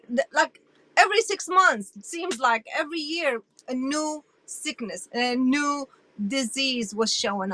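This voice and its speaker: A woman in her sixties, originally from Iraq, telling her story as an interview guest in an animated, emphatic way. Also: sits high, no echo, low-quality recording. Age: 60s. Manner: animated and emphatic, with frequent restarts.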